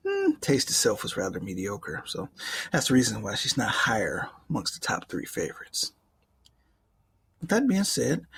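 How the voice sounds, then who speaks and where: Gravelly, suave ancient demon, played by a man. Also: medium pitch, a little hiss or hum. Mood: nostalgic, gloating, then aloof, cautioning. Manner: drawn-out, theatrical, formal wording, half-whispered phrases. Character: ancient suave male demon, jaded, sly, condescending. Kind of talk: ASMR roleplay monologue.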